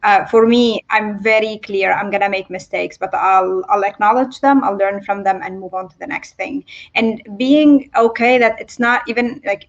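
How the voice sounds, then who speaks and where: A woman talks through her thoughts earnestly on a panel. Her audio comes through a bit dull and muffled. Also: medium pitch. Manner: earnest, reflective. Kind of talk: conversational panel discussion.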